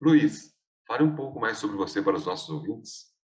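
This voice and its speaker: A man's voice: on the low side, expressive, normal speed. A man co-hosting a podcast, reading an introduction of the guest. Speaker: podcast co-host. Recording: roomy echo, far from the mic.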